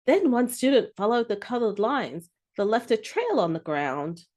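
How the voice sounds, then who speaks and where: A woman reading a children's story aloud with expressive, deliberate phrasing. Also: a little high.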